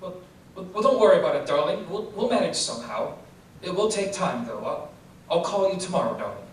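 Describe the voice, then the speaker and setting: Guy acting flustered married man in old radio play, stammers, highish voice, far from mic. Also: sing-song. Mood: apologetic. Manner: stammering, halting. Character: nervous, hesitant married man carrying on an affair. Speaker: stage actor in an old-time radio play. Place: theater stage, live performance. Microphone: stage stand mics, distant camera. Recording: echoey stage from far off, faint noise.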